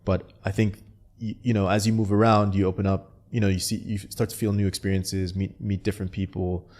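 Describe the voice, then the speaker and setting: A man who grew up in Canada, a startup founder being interviewed, talking in a relaxed way full of fillers and restarts. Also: deep voice, a little fast. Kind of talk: casual interview talk.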